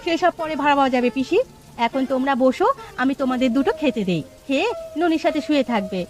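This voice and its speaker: A woman's voice: sits high, expressive, quick pace, darkish tone. A woman playing a caring, worried village mother in a fairy tale cartoon.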